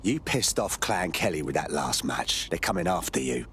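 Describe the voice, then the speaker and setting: Gruff protective man, really low voice but expressive, game cutscene, bit of noise behind. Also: normal speed. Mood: stern warning. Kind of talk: tense game dialogue.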